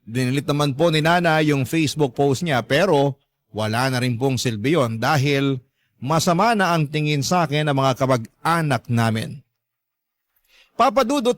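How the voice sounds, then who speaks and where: A man's voice: mid-range voice, no room sound, lightly acted. A young man playing an earnest letter sender, narrating family troubles in a polite, confiding radio drama manner. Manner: polite, confiding, measured storytelling.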